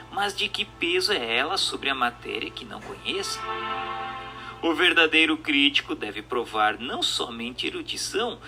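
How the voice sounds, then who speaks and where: A man's voice: a little high, pushed and bright. A man with a Brazilian accent reading a scripted text in an emphatic, declamatory, didactic manner. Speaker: narrator. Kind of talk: scripted narration of a spiritist text. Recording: music bed under the voice. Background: music.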